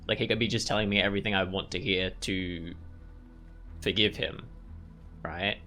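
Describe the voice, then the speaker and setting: A man's voice: sits low, fairly even intonation, normal speed, bright, forceful tone, soft background music. A man giving casual let's play commentary as a gaming video host, musing and thinking aloud as he goes. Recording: clear background noise and ambience.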